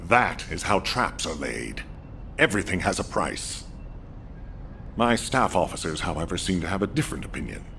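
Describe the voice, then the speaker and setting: Low-voiced stern military commander. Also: male voice, big pitch swings, gravelly, bright, forceful tone, slight background noise, exaggerated voice. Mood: grave, wary. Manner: measured, authoritative, deliberate. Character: stern, wary male military commander, middle-aged. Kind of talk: scripted video game acting.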